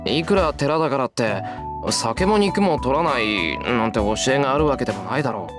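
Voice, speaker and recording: A man's voice: mid-range voice, animated, very fast, over music, lightly acted. A man voicing a good-natured teenage schoolboy in a visual novel, speaking bluntly and informally. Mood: easygoing.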